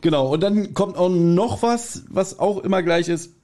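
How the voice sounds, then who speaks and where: Higher-pitched German man, teasing podcast co-host. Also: moderate pace, warm tone. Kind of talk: casual chat, recapping an audio drama.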